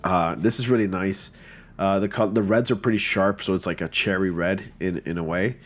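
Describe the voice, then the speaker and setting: Stuffy, deep-voiced man chatting. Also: moderate pace. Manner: chatty and enthusiastic, with many fillers. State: stuffy from a cold. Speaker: YouTuber reviewing fan photo submissions. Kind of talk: casual commentary.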